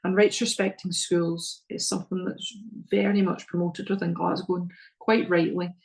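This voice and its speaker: Earnest Northern Irish woman, flat delivery. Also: on the low side, normal speed, vocal fry, rough recording. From Northern Ireland. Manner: earnest, repeats words, frequent ums. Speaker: presenter at a parents' session. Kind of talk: slide presentation to parents.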